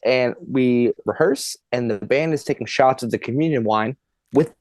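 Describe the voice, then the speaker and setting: A man's voice: mid-range voice, normal speed, a little mellow, no room sound. A man in his twenties, a podcast guest, telling a long funny story in casual chat, laughing and swearing as he goes.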